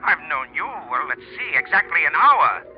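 A man's voice: fairly high pitch, big pitch swings, normal speed, faint music behind. An actor playing an exasperated hunting guest, a desperate man, in 1940s radio drama style. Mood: reluctant. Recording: dull old radio recording.

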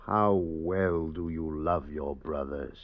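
Very deep, curt, resentful husband. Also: male voice, slow pace, full character voice. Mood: sly. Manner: stilted archaic phrasing, curt. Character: harsh, resentful, scheming husband in colonial Pennsylvania. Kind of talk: 1950s radio drama acting. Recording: dull, noisy old radio recording.

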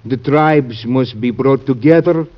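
An actor as a humble but fervent man pleading for his island people in an old radio play. He speaks solemnly at a medium pitch, choosing formal words with care. The recording is dull and narrow. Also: unhurried, natural voice. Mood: earnest pleading. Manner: solemn, careful, formal diction. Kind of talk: classic American radio drama acting.

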